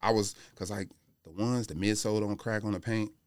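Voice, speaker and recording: A man's voice: fairly low pitch, fairly even intonation, brisk, a little mellow, clean tone, no room sound, clean studio sound. A man talking casually and anecdotally on a podcast, with everyday slang. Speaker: podcast guest. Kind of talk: casual conversational chat.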